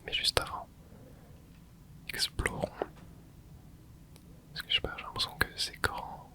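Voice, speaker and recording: Whispering young man, hushed game commentary. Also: a little slow. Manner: soft close whisper, relaxed running reactions. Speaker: ASMR gaming channel host. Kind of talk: whispered ASMR gameplay commentary.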